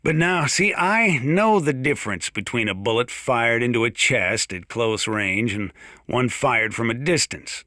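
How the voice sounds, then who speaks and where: Folksy American mountain sheriff, bright gritty edge. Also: male voice, medium pitch, lively intonation, measured pace, no room sound, studio quality. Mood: reflective storytelling. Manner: folksy, wry, drawling storyteller. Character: folksy, gruff, sardonic older small-town mountain sheriff. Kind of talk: in-character radio monologue for a video game.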